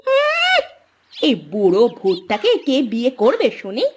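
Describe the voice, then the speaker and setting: A woman voicing a sharp-tongued, defiant young daughter-in-law in a cartoon, snapping back sassily. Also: sits high, wildly swooping pitch, measured pace, slight background noise, heavily acted. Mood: haughty, threatening. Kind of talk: Bengali cartoon voice acting. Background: background music.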